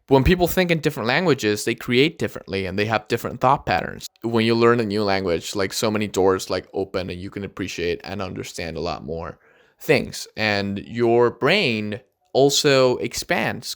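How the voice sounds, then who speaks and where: A man's voice: mid-range voice, a bit bright. Earnest young man giving advice. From Argentina. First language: Spanish. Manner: earnest, encouraging, sometimes slow and stressed. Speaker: vlogger. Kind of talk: casual advice talk to camera.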